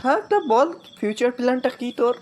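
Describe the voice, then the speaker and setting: A man's voice: very high-pitched, fast, a little hiss or hum. A man playing a goofy, boastful young male character in a comedy cartoon dub.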